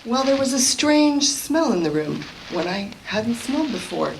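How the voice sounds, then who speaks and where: A woman's voice: on the high side, sing-song, moderate pace, vocal fry. A woman acting in a live radio play as a composed, bereaved young backwoods heroine, with noise behind her. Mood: pensive. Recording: noisy live stage recording.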